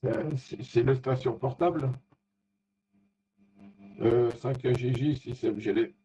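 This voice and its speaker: A man in a casual technical chat as an amateur radio operator, speaking haltingly and often searching for words. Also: mid-range voice, mellow. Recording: dull, lo-fi radio contact audio.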